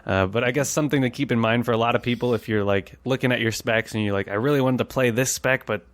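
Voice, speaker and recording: A man's voice: medium pitch, fast, no room sound. A man hosting a casual gaming podcast, chatting in an informal, enthusiastic way. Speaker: podcast host.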